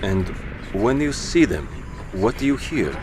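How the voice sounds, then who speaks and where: A man playing a protective, gentle father in an audio drama, with a reassuring, caring manner. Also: fairly low pitch, sing-song, unhurried, background noise. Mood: concerned. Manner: reassuring, soft-spoken with his child. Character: protective, gentle father, calm helper tending the injured.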